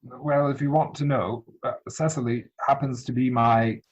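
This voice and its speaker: Man playing flustered gentleman suitor, dull lo-fi audio. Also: medium pitch, steady tone, measured pace, slightly rough. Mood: indignant, evasive. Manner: formal, mannered, indignant delivery. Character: earnest, easily flustered upper-class young gentleman suitor. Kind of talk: dramatic reading of a period stage comedy. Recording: dull lo-fi video-call audio.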